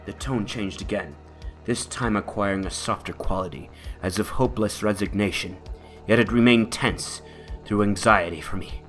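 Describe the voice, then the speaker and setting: A man's voice: on the low side, normal speed, fairly bright tone, close to an everyday voice. A man playing a shaken, horror-stricken witness, narrating gothic testimony in a grave, theatrical way. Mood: grave dread. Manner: theatrical, grave, swelling into anguished cries. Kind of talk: dramatic horror reading. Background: music.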